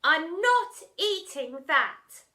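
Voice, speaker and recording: A woman's voice: very high-pitched, very animated, drawn-out words, bright, forceful tone, pitched above the performer's own voice, heavily acted. A woman voicing a cheeky, defiant schoolgirl in a children's story, indignant and emphatic. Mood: suspicious, defiant.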